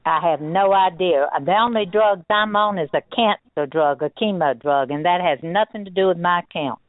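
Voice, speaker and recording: A woman's voice: fairly low pitch, moderate pace, no echo. A plain-spoken, insistent woman making a customer complaint in a phone conversation. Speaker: customer calling about a refund. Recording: tinny, narrow telephone line.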